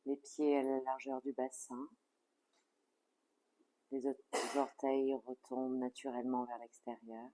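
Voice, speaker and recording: A yoga nidra instructor leading a guided relaxation in a calm, soothing, measured way with soft phrase endings. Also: mid-range voice, low-quality recording.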